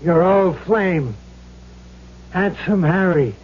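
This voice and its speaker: Husky, menacing gangster ghost. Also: male voice, high-pitched, lively intonation, slow pace, full character voice. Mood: gloating menace. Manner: menacing, slangy tough-guy talk. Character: vengeful ghost of a gangster swindler, cruel, mocking. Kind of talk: old-time radio drama acting. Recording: dull lo-fi old radio recording.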